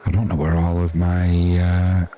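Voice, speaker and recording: Deep-voiced English man, chatty sports streamer. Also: monotone, moderate pace, dark tone. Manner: chatty, self-deprecating, colloquial. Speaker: host and cricket commentator on a live stream. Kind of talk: informal sports commentary and chat to viewers. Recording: crowd noise behind the voice.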